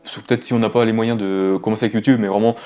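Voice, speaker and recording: A man's voice: on the low side, level tone, rapid-fire, dark tone, lo-fi sound. A man in his twenties chatting casually as an interview guest, informal and rambling. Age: 20s. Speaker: interviewed web entrepreneur. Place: small indoor room with wooden ceiling.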